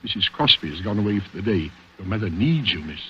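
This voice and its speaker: A man's voice: sits low, sing-song, fast, a little hiss or hum. An actor playing a formal, deferential male chauffeur with a coolly calculating air, in 1940s radio drama style. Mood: deferential urgency. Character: servile male chauffeur, secretly a smug calculating killer. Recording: muffled vintage radio recording with music.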